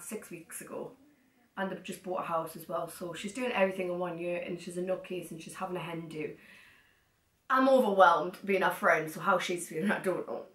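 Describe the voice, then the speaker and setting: A young woman sitting on her living room floor, chatting excitedly to a camera set some distance away and rambling off into asides. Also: fairly low pitch. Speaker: vlogger. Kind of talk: casual haul chat to camera. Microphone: far camera. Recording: echoey room, mic at a distance.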